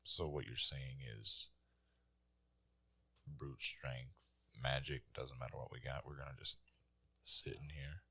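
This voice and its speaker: A man's voice: extremely low pitch, little pitch movement, slow pace, vocal fry, pauses often, pushed and bright, husky. A man casually improvising as a tabletop roleplay player, with a dry, joking, informal manner.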